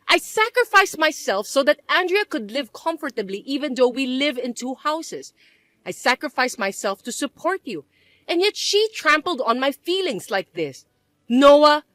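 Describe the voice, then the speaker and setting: A woman's voice: high-pitched, sing-song, moderate pace, bright, forceful tone, dry recording, full character voice. A woman voicing a bossy, scornful older mother-in-law who scolds and accuses in an indignant, whiny way. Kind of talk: dramatized story voice acting.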